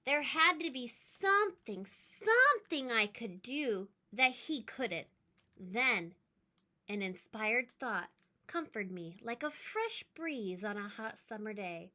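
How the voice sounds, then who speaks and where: Bright, animated woman reading a storybook. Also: on the high side, slow pace. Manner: expressive, emphatic, with chatty asides. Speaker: read-aloud storyteller. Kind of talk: expressive children's storybook narration.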